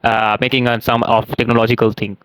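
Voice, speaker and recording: A man from India chatting in halting, as he practices, with many fillers and restarts. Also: mid-range voice, flat delivery, a bit bright, clean tone, dry recording. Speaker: conversation partner practicing English. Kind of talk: casual English practice chat.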